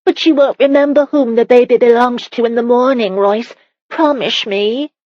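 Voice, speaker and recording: A woman voicing an anxious, stubborn young medieval wife in a romance audiobook, with breathy, emotional, drawn-out delivery. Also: on the high side, no room sound, dull audio, pitched above the performer's own voice, natural voice. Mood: pleading.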